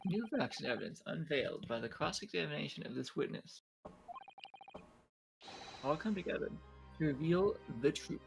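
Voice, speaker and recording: A man's voice: fairly high pitch, animated, normal speed, creaky, pauses often, faint music behind, low-quality recording. A man voicing an earnest defense lawyer who makes formal, declamatory accusations in a courtroom game fan dub. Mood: accusing.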